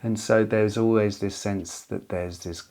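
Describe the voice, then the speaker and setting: Off-screen male interviewer, very low voice, gentle probing questions. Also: steady tone, measured pace.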